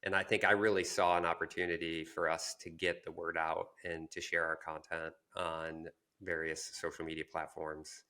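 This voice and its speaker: A man co-hosting a podcast, talking casually and reflectively, pausing to search for his words. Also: low-pitched, level tone, pushed and bright, clear, resonant tone. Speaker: podcast co-host.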